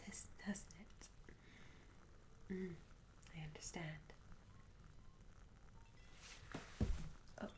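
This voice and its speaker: A woman voicing a shy, curious young medieval tavern maid in a soft-spoken, half-whispered ASMR roleplay, gentle and hesitant with formal old-fashioned wording. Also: medium pitch, a little hiss or hum, close to an everyday voice, rough recording. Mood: curious, polite. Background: tavern ambience sounds.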